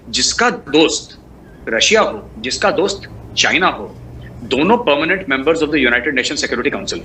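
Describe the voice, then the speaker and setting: Man, higher-pitched voice, political guest commentary, emphatic, rhetorical, repetitive lists, noisy recording. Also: bright, forceful tone. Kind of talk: political discussion, answering a host. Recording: clearly noisy background.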